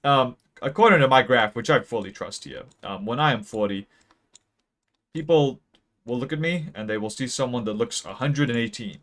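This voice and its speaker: Young man, late teens, deadpan mock-math rambler, hesitant, close peaking mic. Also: medium pitch, moderate pace. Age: young adult. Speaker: video creator. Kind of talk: mock-serious graph explainer. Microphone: close mic that peaks.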